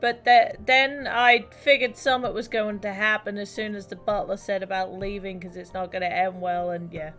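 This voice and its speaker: A woman's voice: medium pitch, fairly even intonation, normal speed, few pauses, fairly bright tone, soft background music. A woman live streamer giving casual gameplay commentary in a blunt, sweary way.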